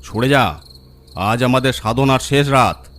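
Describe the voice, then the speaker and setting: A man's voice: mid-range voice, slow pace, fairly bright tone. A man voicing a cruel, menacing bandit leader in a horror cartoon story. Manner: sneering, threatening.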